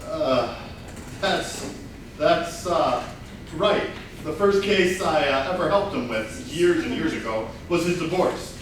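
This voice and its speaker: A narrator played by a man reads stage directions and dry asides for a comedy. His voice is high and varied, heard from far off in a very echoey room. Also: lively intonation, takes it slowly. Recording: distant mic, echoey room, faint noise.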